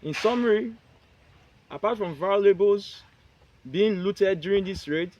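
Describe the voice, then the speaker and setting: Man reading a press statement haltingly. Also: sits high, darkish tone, lo-fi sound, slight background noise. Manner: reads haltingly in short phrases, restarts lines.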